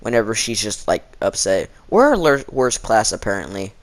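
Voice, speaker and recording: Halting teenage boy storyteller with sore throat. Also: lowish voice, big pitch swings. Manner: halting, informal, slangy, dramatizing quotes. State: sore throat, frequent throat clearing. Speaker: video storyteller. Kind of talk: casual rambling storytime.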